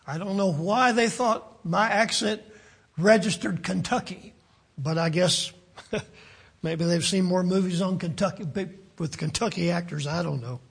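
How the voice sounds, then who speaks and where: An older man from West Virginia with a West Virginian accent, preaching a sermon in a halting way with many fillers and restarts. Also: high voice, vocal fry, breathy edge. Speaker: pastor.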